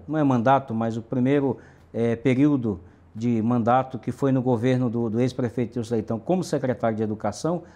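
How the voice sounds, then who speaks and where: A man's voice: mid-range voice, a little mellow. A middle-aged man from Brazil's Northeast, a city councillor answering interview questions emphatically with lists and repetition.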